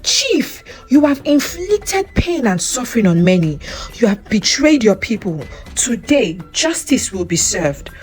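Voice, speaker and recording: A woman's voice: fairly high pitch, big pitch swings, unhurried, a little mellow. A woman voicing a furious, righteous young male hero in a storybook folktale, declaiming accusations. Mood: righteous anger. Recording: music under the voice.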